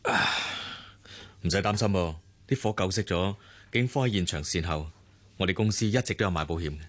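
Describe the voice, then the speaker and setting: Low-voiced, unflappable Cantonese businessman. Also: fairly bright tone. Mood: composed. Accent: Hong Kong Cantonese. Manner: measured, reassuring. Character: calm, composed businessman, reassuring and unflappable. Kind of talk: Cantonese radio drama acting.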